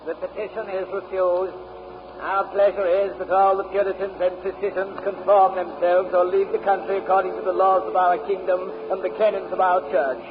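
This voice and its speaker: A man's voice: sits high, level tone, moderate pace, close to an everyday voice. A man acting a stern, haughty royal official in a formal, declamatory 1930s radio drama. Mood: cold, commanding. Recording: muffled, noisy 1930s radio recording.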